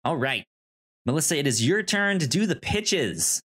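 A man co-hosting a casual pop culture podcast, talking in a halting, rambling, informal way. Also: fairly high pitch, very animated, a bit bright, husky. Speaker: podcast co-host.

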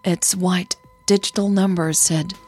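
A woman narrates a scripted drama podcast at a very unhurried pace. Her voice sits a little low and has a husky grain. Music plays behind her. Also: fairly even intonation, a little mellow.

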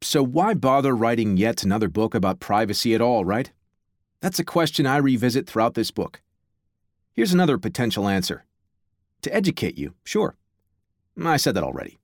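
A man's voice: mid-range voice, very animated, moderate pace, no room sound, clean studio sound. A man reading aloud from his own book on a podcast, with wry conversational asides.

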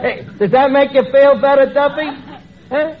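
An actor playing a gruff, uneducated tavern keeper in a 1940s radio comedy, with slangy, ungrammatical speech. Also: male voice, extremely high pitch, steady tone, moderate pace, crowd noise. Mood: coaxing, exasperated. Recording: muffled old radio recording, noise, studio audience.

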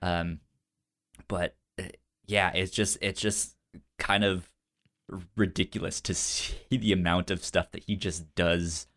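Halting, stuttering man chatting on a podcast. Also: deep voice, fairly even intonation, fairly bright tone. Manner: halting, stutters on repeated sounds and words. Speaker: podcast co-host. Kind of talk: casual film commentary.

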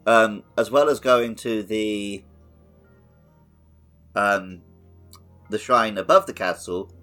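A man's voice: fairly low pitch, slow pace, a bit bright. A man chatting casually as host of a gaming podcast, with a blunt, opinionated and sweary manner. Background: background music.

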